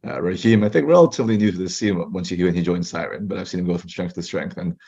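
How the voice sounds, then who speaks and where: A young man, a startup co-founder, telling his story as a guest in a fast, chatty way. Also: sits low, warm tone, husky, lo-fi sound. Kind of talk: casual interview chat.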